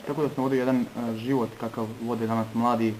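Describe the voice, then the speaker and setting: A man from Serbia giving an earnest personal testimony in short phrases, pausing between them for an interpreter. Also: mid-range voice, flat delivery, a little mellow, slight background noise, low-quality recording.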